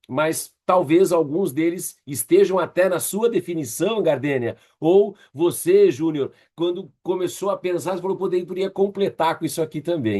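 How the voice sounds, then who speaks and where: A man with a Brazilian Portuguese accent speaks as a trainer leading a group session. His voice sits a little higher than average for a man. He explains in a didactic but conversational way, often turning to his listeners with short tag questions. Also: darkish tone. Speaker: leadership trainer.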